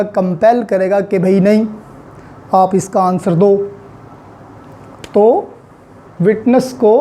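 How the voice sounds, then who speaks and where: Mellow Pakistani man teaching law. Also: high-pitched. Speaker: law lecturer. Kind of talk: explanatory lesson with English legal terms mixed in.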